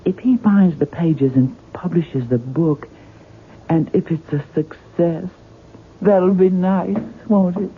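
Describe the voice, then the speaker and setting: An actress playing a gentle, bereaved woman, wistful and hesitant, in 1970s radio drama style. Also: on the low side, slight background noise. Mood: wistful, subdued. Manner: hesitant, halting, polite. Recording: 1970s radio broadcast, narrow phone-like band.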